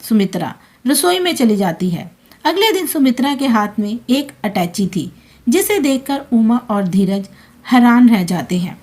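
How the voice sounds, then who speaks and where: A woman's voice: fairly high pitch, lively intonation, unhurried, darkish tone. A woman reading a scripted emotional family story as its narrator.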